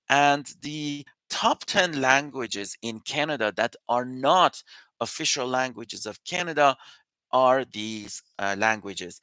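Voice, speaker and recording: A man whose first language is Persian, hosting a live session where he reviews immigration news and answers questions as an immigration consultant, talking through facts and figures in a steady, explanatory way. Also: mid-range voice, dry recording.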